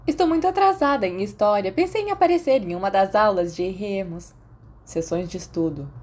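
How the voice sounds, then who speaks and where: A woman's voice: mid-range voice, lively intonation, brisk, a little mellow, natural voice. A woman voicing a brash, fiery teenage schoolgirl in an audiobook, with a cheeky, emphatic and dramatic way of talking. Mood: cheerful, teasing. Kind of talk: audiobook character acting.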